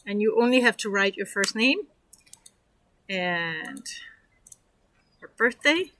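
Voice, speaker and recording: Chatty Danish woman, slow and bright. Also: mid-range voice, animated, slight background noise. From Denmark. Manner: chatty, encouraging, rambling with fillers. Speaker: online course instructor. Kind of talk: casual screen-share tutorial.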